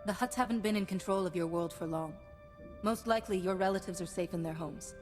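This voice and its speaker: A woman's voice: on the low side, brisk, darkish tone, soft background music, natural voice. A woman playing a steadfast, noble warrior-monk hero in a video game, composed and earnest. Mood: calm resolve. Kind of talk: scripted video game acting.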